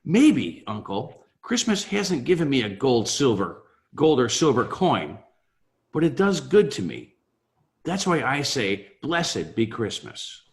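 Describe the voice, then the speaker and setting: A man's voice: medium pitch, sing-song, takes it slowly. A man playing a cheerful, earnest nephew in a table read, pleading in an emphatic, theatrical way. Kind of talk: amateur table read of a Victorian Christmas story.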